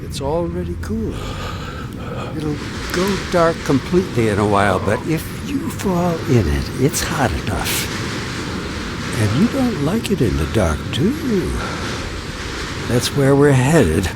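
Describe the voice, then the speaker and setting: A man's voice: on the high side, huge pitch swings, a little slow, heavy background noise. An actor playing a menacing, jealous wealthy man in an audio drama, with a taunting, theatrical delivery.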